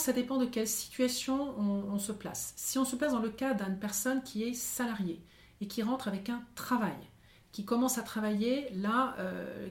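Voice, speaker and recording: A woman methodically explaining social security rules as a podcast guest. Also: on the high side. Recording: roomy echo.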